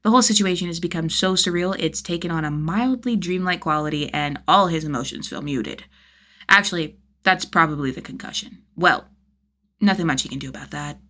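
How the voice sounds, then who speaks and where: A woman's voice: on the low side, a little fast, bright, forceful tone. A woman narrating a piece of fiction as an audiobook, reading from a script with expression.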